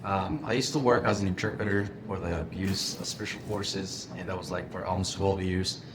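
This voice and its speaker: A man's voice: low-pitched, fairly even intonation, moderate pace. A man from Afghanistan, introducing himself to students in a plain, matter-of-fact way. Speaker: company ambassador on a panel. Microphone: handheld microphone. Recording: clear background noise in a school room.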